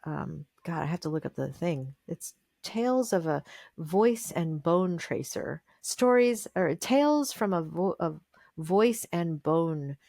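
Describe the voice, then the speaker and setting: A woman with a fairly low voice talks at length as a guest on an interview show. She wanders through her thoughts, stops herself and starts again, and often leaves pauses filled with "um". The recording is close and dry, with no room sound. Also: lively intonation, gravelly, dark tone, no room sound. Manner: thoughtful, meandering, with many fillers and restarts. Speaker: guest musician. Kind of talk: casual interview conversation.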